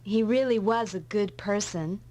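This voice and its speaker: A woman playing a gentle, wistful young companion, tentative and seeking reassurance, in an anime-style game dub. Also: mid-range voice, a little slow, natural voice.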